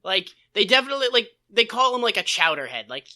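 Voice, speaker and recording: A woman co-hosting a podcast in casual, comedic chat, opinionated, slangy and quick to swear. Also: medium pitch, sing-song, sharp tone. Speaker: podcast co-host. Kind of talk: casual comedic chat recapping an old TV show.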